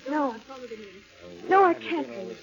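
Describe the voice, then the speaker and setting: An actress playing a frightened, obsessed wife in 1940s radio drama, anxious and emphatic, on a muffled, crackly recording. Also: female voice, high-pitched, big pitch swings, a little slow, creaky, exaggerated voice. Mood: fearful, insistent.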